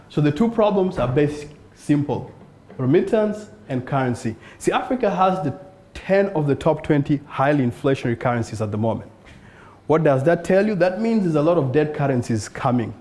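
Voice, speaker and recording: Earnest man pitching from a stage. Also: warm tone. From South Sudan. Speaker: startup founder pitching. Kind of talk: conference pitch talk. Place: event room in front of an audience.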